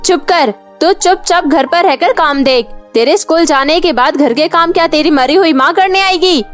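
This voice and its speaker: A woman's voice: high-pitched, normal speed, natural voice. A woman voicing a cruel, scolding aunt in a cartoon, harsh and insulting. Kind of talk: cartoon voice acting. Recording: faint music behind.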